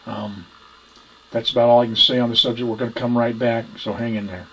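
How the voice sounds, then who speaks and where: Guy teaching voice stuff casually, mid pitch, kinda halting as he explains. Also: steady tone, fairly bright tone, slight background noise, rough recording. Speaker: ventriloquism instructor. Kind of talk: informal instructional talk.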